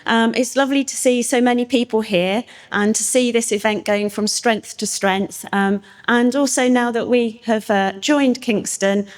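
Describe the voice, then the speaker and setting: A woman who heads a chamber of commerce gives polite, measured opening remarks at a business event. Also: on the high side, steady tone. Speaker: chamber of commerce chief executive.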